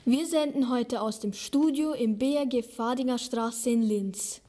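A woman's voice: a little high, a little slow, dark tone. A young woman co-hosting a school radio show, reading her lines from a script. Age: teens. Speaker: student radio host.

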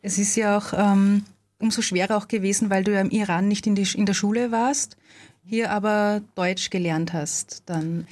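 Interviewer: woman, mid pitch, guides the talk and sums up the guest's answers. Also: steady tone, normal speed, darkish tone. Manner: leading follow-up questions, sums up answers. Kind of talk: interview questions.

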